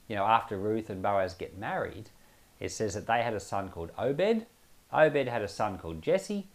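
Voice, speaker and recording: A male pastor talks through scripture in a conversational teaching style, with a mid-range voice that rises and falls in a lively way. He explains step by step, stresses his points and slips in rhetorical asides. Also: medium pitch. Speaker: pastor giving Bible commentary.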